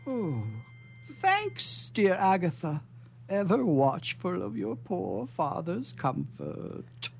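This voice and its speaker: An actor playing a gentle, blind old father in a florid, melodramatic period stage drama, with drawn-out exclamations. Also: high-pitched, wildly swooping pitch, creaky, heavily acted. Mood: grateful, surprised. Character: blind, gentle, aged father living in poverty. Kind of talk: melodramatic 19th-century stage acting. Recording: audible background noise and hum.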